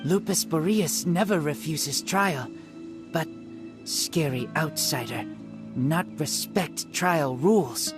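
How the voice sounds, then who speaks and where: An actor playing an earnest, feral teenage boy raised by wolves in an anime game, speaking in halting, broken phrases. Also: mid-range voice, music in the background, natural voice. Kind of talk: anime game character acting.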